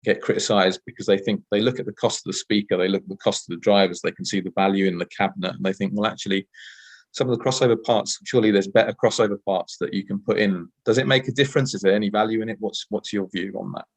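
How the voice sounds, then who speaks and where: Creaky-voiced British man hosting an interview. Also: fairly low pitch, fairly even intonation, quick pace, poor audio. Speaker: podcast host interviewer. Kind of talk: conversational technical interview.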